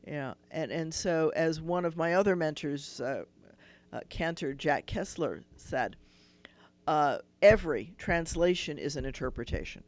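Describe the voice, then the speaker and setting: A man hosting a radio call-in show as a rabbi, explaining at length and thinking aloud with restarts. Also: on the high side.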